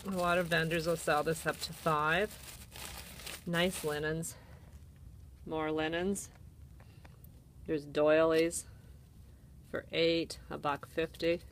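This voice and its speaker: A woman's voice: low voice, a little hiss or hum. A woman with a Canadian accent casually walks through an antique mall, listing items and prices while filming on her phone. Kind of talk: casual walk-through narration. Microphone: handheld phone camera.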